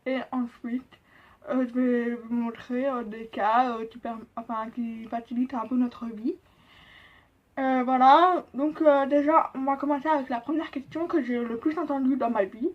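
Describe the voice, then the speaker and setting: A young deaf woman with a deaf-speech accent, explaining things in a casual vlog, halting with many pauses and fillers. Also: high voice, steady tone. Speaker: vlogger. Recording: dull lo-fi sound.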